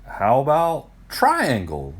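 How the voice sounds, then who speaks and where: A man's voice: medium pitch, huge pitch swings, slow, breathy edge, pitched above the performer's own voice, exaggerated voice. A man voicing an eager ancient Greek geometry teacher in a children's storybook, explaining patiently and with emphasis. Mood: triumphant.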